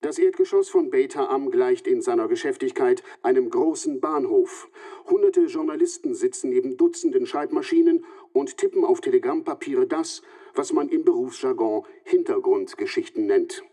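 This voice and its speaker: A radio play reporter, acted by a man, reading sober trial dispatches. His voice sits at a middle pitch and is measured and factual. The sound is very dry. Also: dark tone, no echo, lightly acted.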